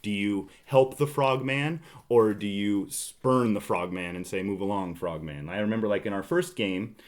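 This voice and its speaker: A man's voice: fairly low pitch, moderate pace. A man hosting a casual board game podcast, talking in a teasing, bantering way. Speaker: podcast host.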